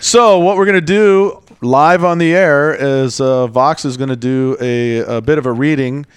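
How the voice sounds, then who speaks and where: A man's voice: mid-range voice, unhurried, clean tone, no room sound. A man hosting a radio show with informal, rambling, joking banter. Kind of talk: casual live radio banter. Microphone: close studio radio mic.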